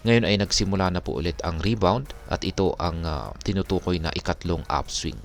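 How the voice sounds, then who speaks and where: A Filipino man hosting an explanatory video, speaking in a polite, measured, formal way. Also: deep voice, faint music behind. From Philippines. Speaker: crypto video host. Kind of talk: explanatory narration over charts.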